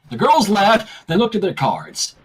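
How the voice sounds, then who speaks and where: A person reading a story aloud in an animated style, slipping into character voices. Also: medium pitch, takes it slowly, husky. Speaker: fan fiction reader.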